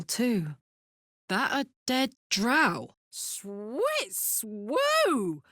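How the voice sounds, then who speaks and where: A woman's voice: mid-range voice, fairly bright tone, dry recording, clean studio sound. An actress playing a brash, big-hearted tiefling barbarian woman in a video game, blunt and swaggering in manner. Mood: fired up, eager. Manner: blunt, slangy, swearing, swaggering.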